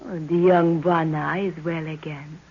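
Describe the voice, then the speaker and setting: A woman's voice: low voice, little pitch movement, unhurried, slight background noise. An actress playing a commanding, mystical jungle witch in a 1930s radio drama, speaking solemnly and formally. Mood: solemn, mysterious. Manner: solemn, formal, speaks of herself in third person. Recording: muffled old 1930s radio recording.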